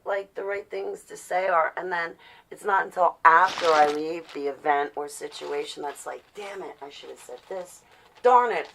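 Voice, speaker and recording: A woman's voice: medium pitch, low-quality recording. A woman giving a casual, rambling vlog monologue, opinionated and ranting, prone to swearing and to acting out imagined quotes. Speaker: vlogger.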